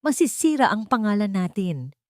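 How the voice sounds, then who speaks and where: A woman's voice: medium pitch, big pitch swings, moderate pace, no echo, studio quality, pitched above the performer's own voice, lightly acted. Woman voicing a scornful, snobbish rich wife. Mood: outraged, scornful. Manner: scornful, sharp-tongued. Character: furious, snobbish rich daughter-in-law scolding her husband.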